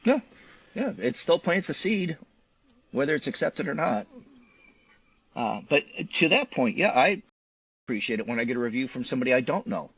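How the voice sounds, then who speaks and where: Husky-voiced man chatting in an interview. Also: mid-range voice, big pitch swings, normal speed, pauses often, a bit bright, dry recording. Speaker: guest, physician and novelist. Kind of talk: casual interview conversation.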